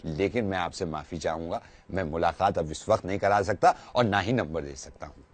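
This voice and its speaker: A man's voice: deep voice, no room sound. A man working as a radio jockey, making a prank phone call in a courteous, formal way. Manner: courteous, formal, deliberate politeness.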